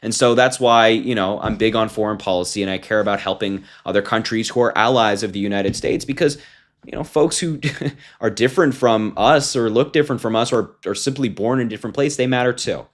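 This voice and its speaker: A man's voice: fairly low pitch, brisk. A man in his twenties giving casual, combative political commentary to camera, talking informally with fillers and restarts. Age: 20s. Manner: mocking, combative.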